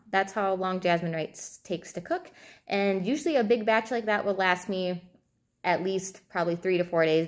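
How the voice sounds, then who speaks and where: Woman, thirties, mid-range voice, informal coaching video, conversational, thinking aloud. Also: mid-range voice. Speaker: fitness and nutrition coach. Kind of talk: informal advice video, talking to camera. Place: indoors, small room at home, seated at a table.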